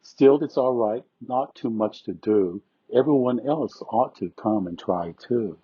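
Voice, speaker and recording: A man's voice: mid-range voice, lively intonation, measured pace, husky. A man presenting and reading rhymed poetry aloud, with short introductions, on a dull lo-fi recording.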